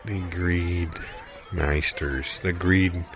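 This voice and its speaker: A man doing improvised, deadpan comedy banter, musing haltingly and repeating himself as he goes. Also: sits low, crowd noise, background noise.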